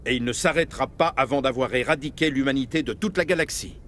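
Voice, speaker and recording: A commanding middle-aged starship captain, played by a male actor in a video game. He is grave and measured and speaks with military authority. A faint rumble sits behind the voice. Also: fairly bright tone, natural voice. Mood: urgent, grave. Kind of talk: dramatic video game dialogue.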